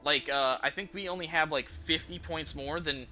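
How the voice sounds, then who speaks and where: A man's voice: a little high, normal speed, sharp tone. A man giving casual, profane, slangy gameplay commentary as a game streamer. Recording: game music behind the voice. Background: video game music and sound effects.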